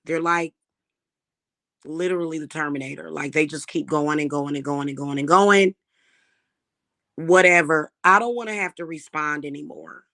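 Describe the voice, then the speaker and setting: Slightly hoarse woman chatting to viewers. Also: low voice, sharp tone. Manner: chatty, defiant, addresses viewers as y'all. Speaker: spiritual reader and channel host. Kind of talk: casual talk to viewers.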